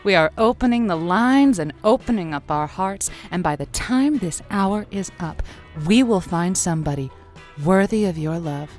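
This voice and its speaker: A woman's voice: lowish voice, expressive, moderate pace, faint music behind, close to an everyday voice. A woman playing a chatty, flirty radio matchmaking host with a playful, theatrical delivery in an audio drama. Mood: playful, sultry. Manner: theatrical, playful, wordplay-loving.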